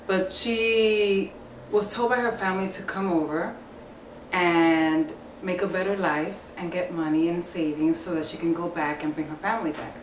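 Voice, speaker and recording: New woman, actor talking about her part, kinda low voice, roomy w faint noise. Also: steady tone, moderate pace, recorded from a distance. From New York, USA. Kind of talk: explaining her character on camera.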